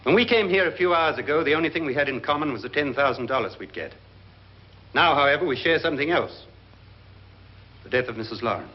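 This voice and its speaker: An actor playing a grave, suspicious guest in a haunted house, speaking formally and deliberately in 1950s horror film style. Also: male voice, fairly high pitch, expressive, natural voice. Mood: grave, foreboding. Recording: muffled 1950s film soundtrack.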